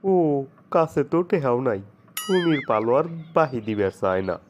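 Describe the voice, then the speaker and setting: A man's voice: mid-range voice, very animated, normal speed, a little hiss or hum, natural voice. A man playing a hard-up middle-aged village father-in-law in a comedy cartoon, talking in a folksy, grumbling way with a Sirajganj Bangladeshi accent.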